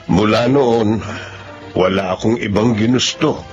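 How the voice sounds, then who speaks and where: Casting: actor as Filipino dad of about sixty, stern yet sentimental, slightly muffled radio drama with music underneath. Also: male voice, lowish voice, a little slow, natural voice. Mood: wistful. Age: 60s. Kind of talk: Tagalog radio drama narration and acting.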